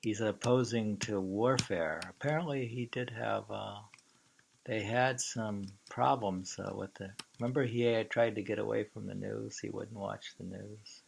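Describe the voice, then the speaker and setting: A low-pitched man reads poetry and talks it over in an informal way. His delivery is very slow and halting, with musing asides. The voice has a gravelly creak. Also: steady tone, a little hiss or hum. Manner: halting and musing, muttering asides, drawn-out reading. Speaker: reader and commentator. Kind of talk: informal poetry reading with commentary.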